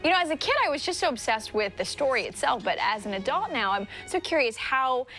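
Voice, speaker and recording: High-voiced American female TV interviewer. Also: lively intonation, a little fast, sharp tone, soft background music. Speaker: TV morning show host interviewer. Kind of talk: broadcast interview chat.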